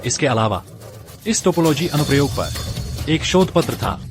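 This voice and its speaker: A man's voice: on the high side, big pitch swings, music in the background. A man voicing a stern, formal academic official. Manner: formal, measured. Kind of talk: Hindi dub of a drama.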